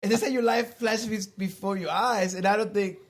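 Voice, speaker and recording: A man's voice: sits high, fairly even intonation, a little fast. A man co-hosting a casual podcast, musing and asking big questions with lots of "like" filler.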